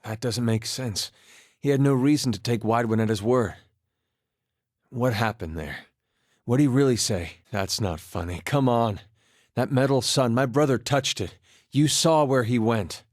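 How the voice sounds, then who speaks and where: A man's voice: medium pitch, a little slow, dry recording, clean studio sound, lightly acted. A man voicing a laid-back, wry farmer turned soldier in a video game, with a plainspoken, understated folksy manner. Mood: urgent, questioning. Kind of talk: performed game dialogue.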